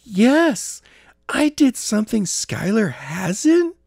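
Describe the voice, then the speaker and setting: A man's voice: high voice, wildly swooping pitch, slow pace, vocal fry, a little mellow, slightly rough, dry recording, studio quality, voice pushed up, heavily acted. A man voicing a determined, competitive young woman training in martial arts, earnest and exclaiming, in a storybook reading. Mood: eager, competitive.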